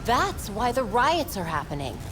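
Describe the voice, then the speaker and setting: An actress playing a determined, quippy young vigilante heroine in a video game cutscene. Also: female voice, a little high, big pitch swings, moderate pace, over music. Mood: focused.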